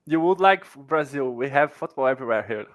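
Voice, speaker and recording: A man in his thirties hosting an interview, asking questions slowly and haltingly with many restarts. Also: medium pitch, animated, no echo, poor audio. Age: 30s. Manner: halting, repeats words, slow. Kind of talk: casual interview.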